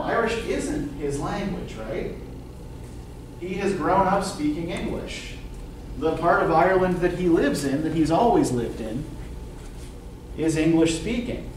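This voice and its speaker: A man's voice: a little high, a little mellow. A middle-aged man giving a university literature lecture in a questioning way, often checking with "right?". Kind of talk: classroom lecture. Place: classroom, indoors. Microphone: distant camera microphone, speaking through face mask. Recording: roomy classroom from far off, faint noise.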